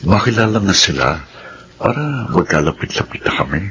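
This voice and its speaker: An actor playing an earnest, devoted suitor in a Filipino radio drama, with a Filipino accent. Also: male voice, low voice, very animated, normal speed, pushed and bright, highs cut off, slight background noise, exaggerated voice. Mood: earnest, pleading.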